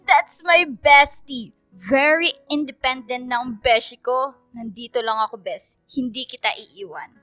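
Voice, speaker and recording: A young Filipino woman in a radio drama, caring and emotional as she talks with people close to her. The voice is high, with faint music behind it. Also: a little slow, sharp tone, no room sound, rough recording. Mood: playful, affectionate. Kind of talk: radio drama dialogue, Taglish.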